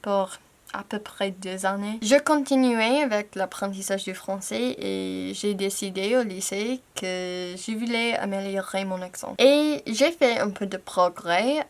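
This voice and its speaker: A young American woman, a native English speaker, talking through a personal video in careful learner French. She speaks hesitantly, pausing and correcting herself as she goes. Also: mid-range voice. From United States. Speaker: language-learning YouTuber.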